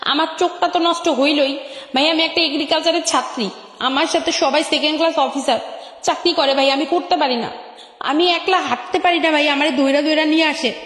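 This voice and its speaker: A woman's voice: sits high, brisk, fairly bright tone. A young woman with a Bangladeshi Bengali accent and an electronically altered voice, telling her life story emphatically and repeating phrases. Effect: voice changer on the voice. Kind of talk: personal storytelling on a radio show. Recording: echoey with long reverb.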